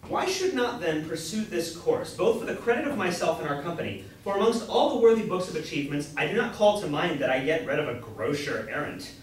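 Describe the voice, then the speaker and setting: A young man acting a grocer's apprentice who plays a grandiose, courtly knight-errant in a staged reading of a Jacobean comedy, declaiming in high-flown style. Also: sing-song, fairly quick, few pauses. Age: young adult. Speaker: student actor. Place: indoor room, far from the mic. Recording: distant, very echoey room with faint noise.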